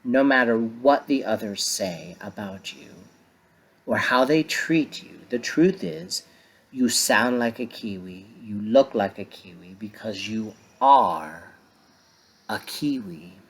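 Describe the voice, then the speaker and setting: A man voicing a gentle, caring mother kiwi bird in a storybook, speaking in a soft, reassuring way. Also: lowish voice, expressive, takes it slowly, husky, heavily acted. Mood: tender.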